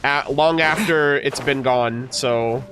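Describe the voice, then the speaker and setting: A man's voice: mid-range voice, slow pace, fairly bright tone, faint music behind. A man streaming a game, chatting casually with viewers, slightly nasal and stuffed up, with many fillers and restarts.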